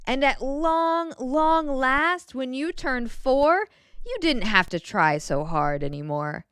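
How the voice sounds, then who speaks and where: A woman reading a children's picture book aloud in a gentle, expressive way, pausing to put questions to the young listener. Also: sits high, dry recording, clean studio sound. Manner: gentle, expressive, clearly enunciated storybook delivery.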